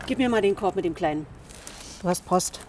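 An actress playing a matter-of-fact prison warder, brusque but sympathetic, terse and plain-spoken in a naturalistic radio drama with background noise. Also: female voice, fairly low pitch, animated, a little fast, darkish tone, natural voice. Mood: subdued, sympathetic.

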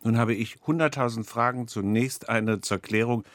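A man's voice: mid-range voice, normal speed, no room sound. A man hosting a radio interview, asking direct, probing questions. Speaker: radio host.

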